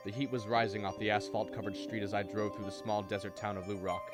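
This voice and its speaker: Casting: male actor as anxious, insistent brother in radio drama, slightly low voice, halting when agitated, strong music bed. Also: level tone, natural voice. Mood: tense, narrating. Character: anxious, insistent man searching for his missing brother. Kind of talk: radio drama acting with first-person narration.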